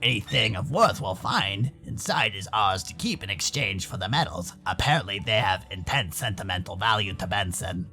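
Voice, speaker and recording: A man's voice: lowish voice, animated, moderate pace, gravelly, hardly any pauses, bright, forceful tone, soft background music, pitched below the performer's own voice, heavily acted. An American man voicing a laid-back furry animal character who hesitantly explains things to his friends in a visual novel. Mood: explaining.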